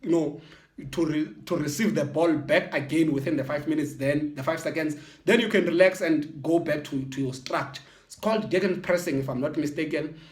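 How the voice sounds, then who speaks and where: South african man, football vlogger, higher-pitched voice, halting with restarts, echoey room. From Eastern Cape, South Africa. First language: Xhosa and Sotho mix. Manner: halting, many restarts and repeated words. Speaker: football channel host. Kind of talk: casual reflective monologue.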